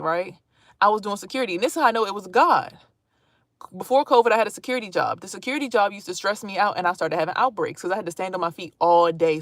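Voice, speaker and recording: A woman's voice: mid-range voice, no room sound, clean studio sound. Blunt chatty woman in her thirties. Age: 30s. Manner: blunt, animated, swearing freely, chatty asides to viewers. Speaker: vlogger streaming to viewers. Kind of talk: casual live-stream talk.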